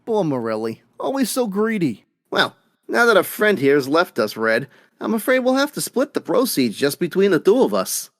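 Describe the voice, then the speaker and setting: A man playing a calm, commanding, ruthless crime boss in an old-time radio drama. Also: high-pitched, normal speed, no room sound, studio quality, exaggerated voice. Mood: mock regretful. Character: smooth, ruthless male crime boss of a gang.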